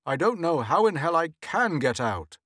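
A man's voice: medium pitch, sing-song, normal speed, a bit bright, no echo, studio quality. A man voicing an easygoing middle-aged husband, brusque and matter-of-fact. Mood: angry.

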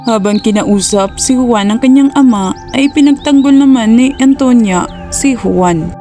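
A woman narrating a cartoon storybook in a plain, steady way. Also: a little high, unhurried, faint music behind.

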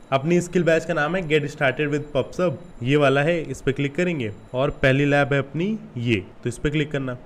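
A man's voice: fairly high pitch, slight background noise. A man in his twenties with an Indian accent hosts a casual step-by-step screen tutorial, informal and instructional, mixing in English terms. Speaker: YouTube tutorial host.

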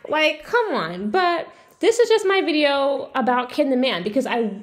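Higher-pitched woman, blunt casual commentary. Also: big pitch swings, moderate pace. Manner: blunt, exasperated, sweary. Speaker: video commentator.

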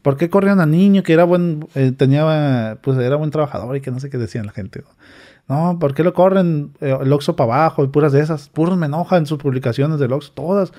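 A young man, telling a story as a podcast guest, animated, acting out quoted comments in a raised voice. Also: on the high side, quick pace. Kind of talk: casual storytelling. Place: small studio with a sofa. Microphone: studio mic on a boom arm, wearing headphones.

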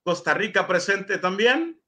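Brief: middle-aged Honduran male host, high bright voice, courteous, reads viewer comments on a video call. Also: sing-song, a little slow. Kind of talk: interview, reading viewer messages.

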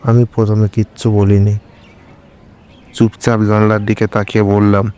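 A man narrating a scripted horror story in the first person, in a measured, solemn storytelling manner. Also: lowish voice, completely flat delivery, warm tone, clean tone.

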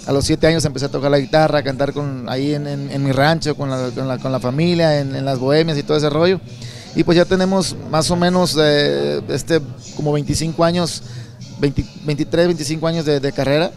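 A Mexican man in his thirties from Sinaloa, answering interview questions at an indoor expo booth into a handheld mic, relaxed and rambling with many repeated words. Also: fairly high pitch, a little fast. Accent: Sinaloan Mexican Spanish. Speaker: songwriter and singer.

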